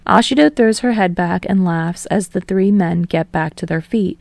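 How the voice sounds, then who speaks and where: A woman's voice: on the low side, normal speed, creaky, darkish tone, no room sound. A woman reading scripted fiction as an audiobook narrator.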